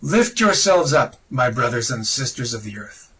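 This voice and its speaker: A man reading a religious text aloud in a solemn, dramatic style, declamatory and deliberate, with emphatic pauses. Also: mid-range voice, big pitch swings, a bit bright, husky, distant mic. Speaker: podcast reader of esoteric texts.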